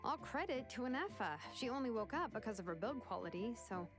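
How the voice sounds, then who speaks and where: A woman voicing a brisk, modest young researcher in a video game, expressive yet matter-of-fact. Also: fairly high pitch, over music. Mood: brisk, analytical.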